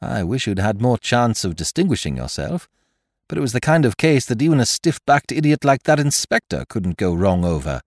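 A man acts out an eager gentleman sidekick in a storybook mystery. He is impatient and easily flustered, his emphatic voice jumping high and swinging widely. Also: normal speed, no echo, clean studio sound. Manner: emphatic, excitable.

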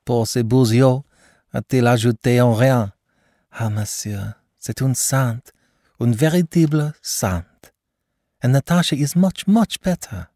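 A man's voice: on the low side, lively intonation, takes it slowly, pauses often, a little mellow, husky, dry recording, studio quality, pitched above the performer's own voice, full character voice. A man reading an audiobook, voicing a young émigré mother who gushes and slips French phrases into her English. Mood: gushing. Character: anxious, effusive young émigré mother, woman.

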